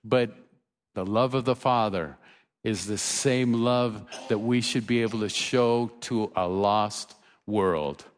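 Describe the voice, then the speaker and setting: Older pastor preaching in an echoing hall. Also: male voice, lowish voice, slow pace. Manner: earnest preaching, rising emphasis, with soft storytelling asides. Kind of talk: sermon. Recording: reverberant church hall.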